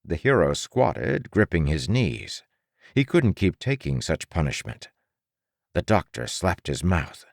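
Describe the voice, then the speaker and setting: Guy reading a dramatic audiobook, gravelly voice, super dry close sound, measured phrasing. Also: sits low, big pitch swings, no room sound. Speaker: audiobook narrator. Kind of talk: scripted dramatic fiction narration.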